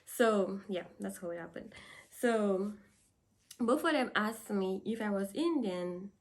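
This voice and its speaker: A woman's voice: medium pitch, animated, slow. A young woman from Dominica with a Dominican accent, chatting casually to camera and laughing often. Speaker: vlogger.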